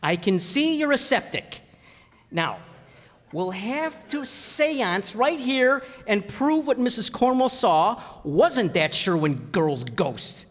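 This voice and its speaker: A man's voice: high-pitched, big pitch swings, slow, exaggerated voice. A man playing a blustering, good-natured working-class father in an old-time radio comedy, folksy and ungrammatical. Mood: mock-serious. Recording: reverberant hall stage recording.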